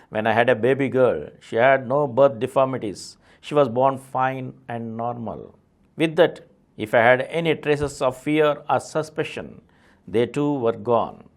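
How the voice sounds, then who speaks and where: A man with an Indian accent reading a memoir aloud as a presenter of an audio series. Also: mid-range voice. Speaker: audiobook reader, channel presenter.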